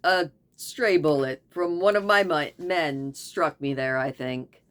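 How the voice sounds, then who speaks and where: A gallant young goat hunter who jokes lightly about his wounds, as a woman reads him in a lowish voice. Storybook reading. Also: slow pace, fairly bright tone. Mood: wry. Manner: light and joking.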